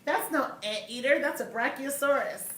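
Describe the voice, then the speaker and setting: A woman's voice: high-pitched, normal speed, fairly bright tone, a little hiss or hum, pitched above the performer's own voice. A woman voicing a teasing, contrary friend in a picture book. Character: contrary friend insisting it's a rabbit. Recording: echoey room, mic at a distance.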